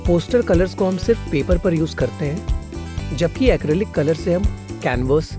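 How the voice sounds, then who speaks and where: Male narrator for a conversational factory explainer, studio voice-over, clear music bed behind. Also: a little high, lively intonation, moderate pace. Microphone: studio voice-over mic. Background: background music.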